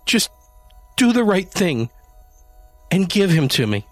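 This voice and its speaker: A man playing a shrill, frantic grieving woman. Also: very animated, slow pace, dry recording, faint music behind, heavily acted. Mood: shrieking. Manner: shrill, pleading, screeching. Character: frantic grieving woman clinging to her kitten.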